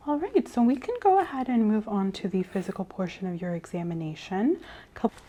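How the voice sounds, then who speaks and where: A woman doing a soft-spoken ASMR medical role-play as a clinician, gently reassuring and giving step-by-step instructions. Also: mid-range voice, expressive, brisk, slight background noise. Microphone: clip-on mic.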